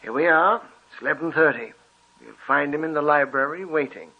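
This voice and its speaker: An actor playing a calm, level-headed man in a 1940s radio drama, speaking in a measured, reassuring way. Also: a little high, slightly rough. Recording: dull old radio recording.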